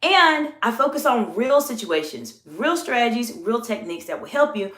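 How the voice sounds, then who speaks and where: A woman's voice: on the high side, sing-song, a bit bright, echoey room. A woman from the American South with a mixed Southern and New York accent, hosting a live-stream coaching talk and stretching out key words for emphasis. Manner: drawn-out emphatic words, reads chat aloud. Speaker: executive coach and channel host.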